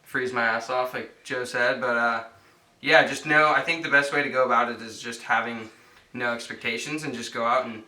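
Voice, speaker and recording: A teenage boy surfer talks in a relaxed, easygoing way about the next day's heat, restarting his sentences as he goes. His voice is very bright and sits a step back from the microphone. Everything carries a roomy echo. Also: mid-range voice, far from the mic. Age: teens. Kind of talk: contest interview.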